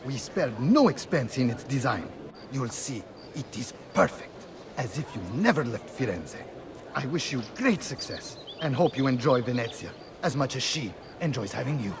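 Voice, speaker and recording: A man's voice: mid-range voice, animated, normal speed, background noise, natural voice. An actor voicing a formal male Venetian, giving a flowery welcome. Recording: game cutscene with street ambience.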